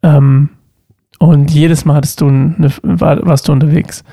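Creaky-voiced man with a stuffy nose. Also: fairly high pitch, flat delivery, a little slow, warm tone, dry recording, clean studio sound. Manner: joking, rambling, self-interrupting. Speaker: podcast co-host. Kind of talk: casual couple chat.

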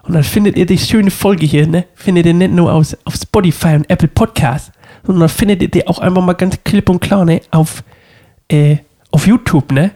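A man's voice: high-pitched, moderate pace, dark tone. A young man with a stuffy cold, co-hosting a casual podcast from his living room, playful and rambling. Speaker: podcast co-host. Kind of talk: casual couple podcast chat.

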